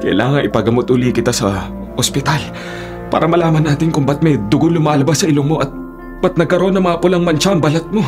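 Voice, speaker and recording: A man's voice: on the high side, fast, loud music behind the voice, close to an everyday voice. An actor playing a worried, humble working-class father who pleads, in a Philippine radio drama.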